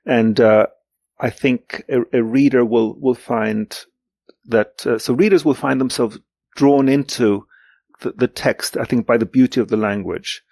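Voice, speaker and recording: A man in his sixties whose mother tongue is German, speaking reflectively and haltingly as an interview guest, often repeating words for emphasis. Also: medium pitch, steady tone, vocal fry, a little mellow, no echo. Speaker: guest, literary translator. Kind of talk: reflective podcast interview talk.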